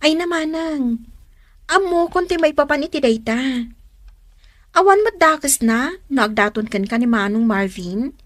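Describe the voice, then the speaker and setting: Woman as chatty little sister, high voice, super lively, teasing, radio drama. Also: a little slow, no room sound, clean studio sound.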